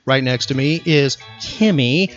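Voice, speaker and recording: A man's voice: medium pitch, a little slow, lo-fi sound, soft background music. A man hosting a chatty trivia podcast in a playful, teasing manner. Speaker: podcast host.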